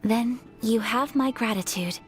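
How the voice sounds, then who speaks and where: Refined young noblewoman, high-voiced, played by a woman. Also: close to an everyday voice. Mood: composed, polite. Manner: formal, measured, polite. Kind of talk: video game voice acting. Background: background game music.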